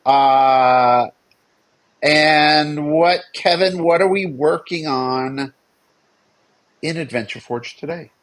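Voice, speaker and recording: A man's voice: a little high, slow, pauses often. A middle-aged man co-hosting a casual livestream as a game designer, bantering in a dry, tongue-in-cheek way. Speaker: game designer and stream co-host.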